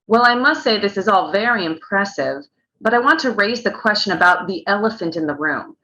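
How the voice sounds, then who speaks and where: A woman's voice: mid-range voice, animated, fairly quick, pushed and bright, a little hiss or hum. A woman playing a skeptical news reporter in a screenplay table read, asking pointed questions. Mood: skeptical, probing. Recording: dull lo-fi online audio.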